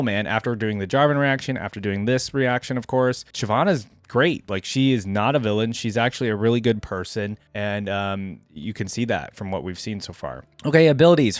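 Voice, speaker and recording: A man's voice: medium pitch, no room sound. A man hosting a reaction video, reading aloud and breaking in with informal commentary. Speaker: YouTube reaction host.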